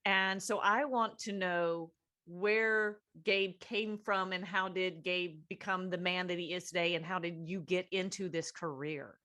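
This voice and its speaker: A woman's voice: fairly low pitch, steady tone, a little slow, sharp tone. A middle-aged woman co-hosting an interview, chatty and enthusiastic, with a rambling way of talking. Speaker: podcast co-host. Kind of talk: casual interview chat.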